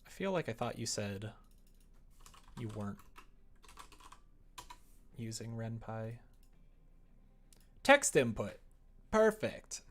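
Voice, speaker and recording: A man's voice: lowish voice, sing-song, slow, long pauses, fairly bright tone, slight background noise. A man streaming live, chatting casually and muttering to himself as he thinks aloud while working at the computer.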